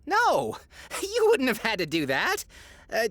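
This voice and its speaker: Guy playing gushy nerdy game master dude, super high voice, swoopy and awkward, audio comedy. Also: wildly swooping pitch, a bit bright, dry recording, full character voice. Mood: apologetic, earnest. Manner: effusive, halting, awkward. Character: gushing, nerdy male game master and excitable fan. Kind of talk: comedic audio drama acting.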